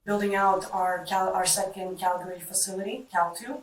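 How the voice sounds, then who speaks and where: A woman's voice: medium pitch, steady tone, moderate pace. A middle-aged woman whose first language is Greek answers questions in short phrases. She sounds a bit distant in an echoey room, with faint noise behind her. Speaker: data center engineer being interviewed. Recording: roomy, distant mic, background noise.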